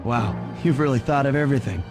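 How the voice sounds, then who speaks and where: An actor playing a cocky young raccoon master thief in a video game, smooth and easygoing. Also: male voice, mid-range voice, fast, lightly acted. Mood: teasing. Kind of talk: animated video game cutscene acting. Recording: loud music under the voice. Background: game music.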